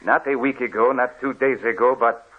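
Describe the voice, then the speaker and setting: An actor playing a grave, commanding police officer in an old radio play, questioning and recounting in a measured way. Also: male voice, medium pitch, husky, close to an everyday voice. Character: stern, deliberate male police officer questioning a suspect. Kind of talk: old radio drama acting. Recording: tinny, hissy old radio recording.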